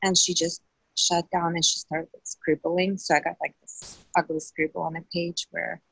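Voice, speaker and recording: A woman whose first language is Russian shares in a support group, speaking softly and hesitantly as she searches for words. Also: on the low side, little pitch movement, moderate pace, creaky, slightly rough, no room sound, poor audio. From Southern California. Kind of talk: personal sharing in a support group.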